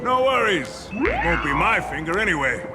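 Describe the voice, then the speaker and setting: Swaggering game mobster, very animated pitch, theatrical, hot-tempered, mock-polite, loud music behind. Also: male voice, moderate pace. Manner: brash, theatrical, switches from snarling to mock politeness. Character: hot-tempered, swaggering male gangster underboss. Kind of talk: video game voice acting.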